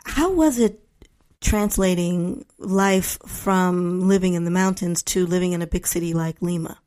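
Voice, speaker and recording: A woman's voice: lowish voice, unhurried, warm tone. A woman hosting a podcast, introducing her guest and asking interview questions.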